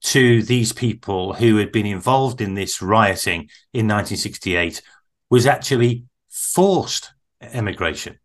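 A man hosting a podcast, interviewing a guest and then narrating the close in an earnest, measured way. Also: lowish voice, a bit bright.

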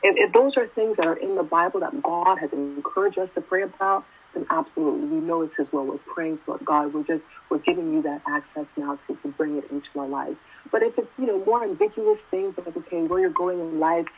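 A woman's voice: low voice, lively intonation, fairly quick, few pauses, breathy edge, lo-fi sound. A woman in her twenties giving a casual sermon, talking conversationally and often asking "right?". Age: 20s. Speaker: youth preacher.

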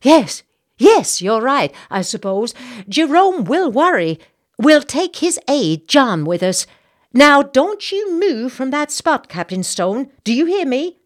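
A woman's voice: high-pitched, sing-song, slow pace, voice pushed up, full character voice. A woman voicing a fretful, bossy, gossipy Southern society matron in an audiobook, with an American Southern accent and an indignant, insistent manner. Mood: flustered, bossy.

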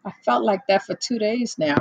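A middle-aged woman hosting a casual livestream chat, rambling and chatty with lots of fillers and laughs, a little hoarse as she loses her voice. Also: mid-range voice, moderate pace, dull audio, background noise. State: losing her voice, slightly hoarse. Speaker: livestream host. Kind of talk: casual chat with live viewers.

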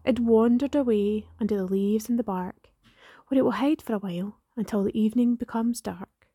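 A woman reading a rhyming poem in a hushed, partly whispered way. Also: on the high side, moderate pace, breathy edge, no room sound, clean studio sound. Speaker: library staff member reading a poem. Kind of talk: poetry reading.

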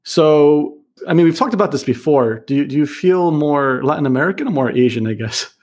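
A man hosting an interview podcast, reading a measured intro and then asking questions in a friendly, chatty way. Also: a little high, animated, a little mellow. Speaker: podcast host. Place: Kuala Lumpur.

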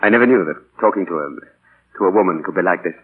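A man's voice: sits low, rapid-fire, slightly rough. A middle-aged man acting in 1940s radio style as a witty, teasing English novelist nearing middle age, playful and theatrical. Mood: charmed, hesitant. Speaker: radio actor. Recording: tinny, band-limited 1940s radio broadcast.

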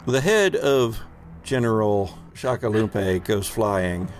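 A man's voice: fairly low pitch, animated, slow, soft background music. A man casually narrating a tabletop roleplaying game as the game master.

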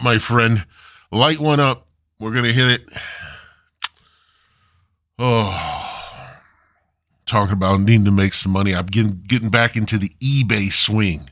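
Slow, low-voiced male podcast host. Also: a bit bright, dry recording, clean studio sound. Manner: mock-grandiose, drawn-out, theatrical delivery; crude, foul-mouthed. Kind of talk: rambling casual solo comedy podcast.

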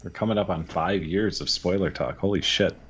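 A man with a very deep voice chats casually as a podcast co-host. He rambles and restarts his sentences. The audio is slightly dull and lo-fi. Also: a little fast, a little hiss or hum. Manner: rambling, self-correcting, candid.

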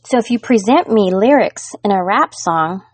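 A woman's voice: medium pitch, lively intonation, moderate pace, a little mellow, breathy edge, dry recording. A middle-aged woman hosting a solo podcast, talking to listeners in an earnest, encouraging way. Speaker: podcast host. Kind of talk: solo motivational podcast talk.

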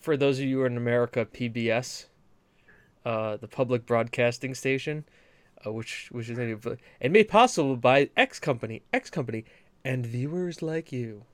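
A man's voice: mid-range voice, moderate pace, no echo. Rambling, joking podcast guy. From New England. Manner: rambling, rant-prone, joking. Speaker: podcast co-host. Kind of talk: casual chat.

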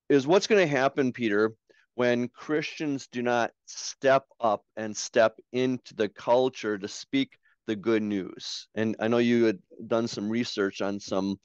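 A man's voice: mid-range voice, clean tone, no echo. A man who is a pastor and author, hosting a podcast and leading the conversation with stories and questions. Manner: storytelling, leads the talk, asks questions. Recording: slightly dull, lo-fi mic.

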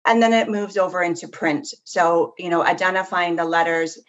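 Lower-voiced woman, slightly dull recording. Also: normal speed. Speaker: podcast guest, literacy program professional. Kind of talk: explanatory interview answers.

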